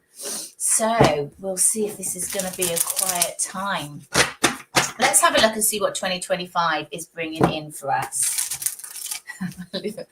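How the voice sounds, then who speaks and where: A woman hosting a live psychic stream, chatting casually, joking and laughing easily with her viewers. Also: mid-range voice. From United Kingdom. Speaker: psychic host. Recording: loud background noise.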